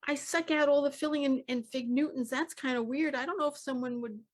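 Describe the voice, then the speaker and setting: A woman's voice: sits high, steady tone, a little fast, natural voice. A woman improvising a nervous younger sister who stammers and worries before a date. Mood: anxious. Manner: anxious, hesitant, stammering.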